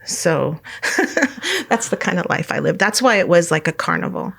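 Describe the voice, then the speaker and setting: A middle-aged woman from California, a guest telling her life story in a relaxed interview, rambling with many fillers and restarts and laughing often. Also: lowish voice, moderate pace, creaky. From United States, California.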